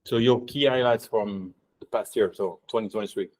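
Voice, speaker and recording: A man's voice: fairly low pitch, normal speed, darkish tone, poor audio. A man moderating a panel discussion at an evening event, with brief questions and restarts.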